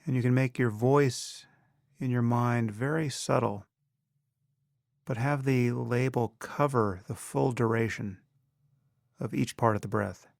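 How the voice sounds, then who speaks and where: Gravelly man guiding a meditation. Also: medium pitch, a little mellow, dry recording, studio quality. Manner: measured, instructional, with long pauses. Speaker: meditation teacher. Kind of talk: guided meditation.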